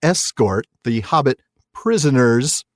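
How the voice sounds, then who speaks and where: A man's voice: mid-range voice, expressive, slow pace, dry recording. A man co-hosting a podcast in a casual, jokey way, moving between banter and sign-off. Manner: jokey, laughs often while talking. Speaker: podcast co-host.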